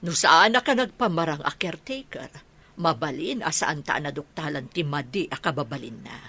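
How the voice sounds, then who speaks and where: Woman acting bright, swooping doting mother. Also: low-pitched, animated, normal speed, breathy edge, heavily acted. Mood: tender, devoted. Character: doting, approving middle-aged mother of grown son. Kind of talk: radio-style audio drama acting.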